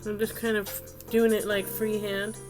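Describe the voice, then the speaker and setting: A woman's voice: medium pitch, level tone, moderate pace, over music. A woman with a stuffy, congested voice, hosting a casual craft video with rambling, unscripted narration as she works.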